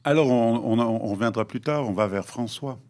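A man moderating a panel discussion, introducing guests in a lively, playful way. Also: medium pitch, normal speed.

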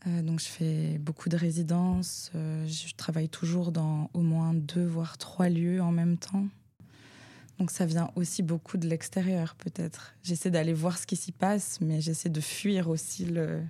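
A woman's voice: deep voice, level tone, a little slow, mellow, no echo. A woman artist answering on a panel, hesitant and with fillers. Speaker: guest artist. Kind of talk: panel discussion.

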